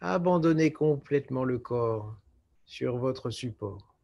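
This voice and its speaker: A man guiding a relaxation meditation as a yoga nidra teacher, speaking in a calm, measured way with short phrases and pauses. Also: mid-range voice, creaky. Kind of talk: guided relaxation meditation instruction.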